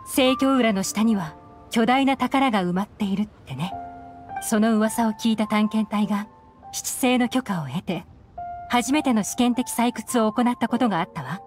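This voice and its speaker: Game investigator, young woman played by an actress: highish, cool, calculating, measured, over background music. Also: a little mellow, close to an everyday voice. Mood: composed, explaining. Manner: composed, measured, explanatory. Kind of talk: Japanese game voice acting.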